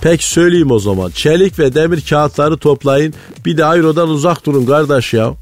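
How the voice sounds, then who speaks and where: A man's voice: a little high, animated, fairly quick, close to an everyday voice. A man playing a pious, conspiracy-minded provincial economy guru in radio comedy, earnest and rambling, with a Malatya accent from eastern Anatolia. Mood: conspiratorial, insistent. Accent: Malatya, eastern Anatolian Turkish. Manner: folksy, earnest, rambling anecdotes. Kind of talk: comic radio character monologue. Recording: studio voice over background music.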